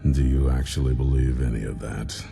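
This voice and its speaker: Very deep, gravelly vampire-hunter commander. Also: male voice, fairly even intonation, a little fast, dark tone. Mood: hostile, suspicious. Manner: gruff, blunt, threatening. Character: stern, hostile male vampire-hunter commander. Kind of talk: video game dialogue acting. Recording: hall reverb, music clearly behind.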